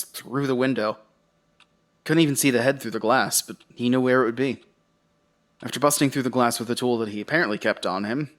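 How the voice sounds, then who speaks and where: Man acting as uneasy male survivor, weary, confessional audio drama narration, conversational, halting, mid-pitched voice. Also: fairly bright tone, lightly acted. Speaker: narrator and lead character.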